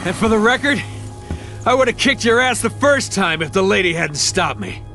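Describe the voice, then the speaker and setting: A tough, cocky male sergeant in a video game, defiant and plain-spoken. The voice sits slightly high for a man, over loud music. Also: expressive, normal speed. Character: gruff, cocky, brave male soldier sergeant.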